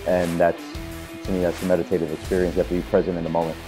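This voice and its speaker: A man's voice: sits low, little pitch movement, racing, rough recording. A man competing in bodybuilding, talking earnestly and intensely about training in a documentary interview. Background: music.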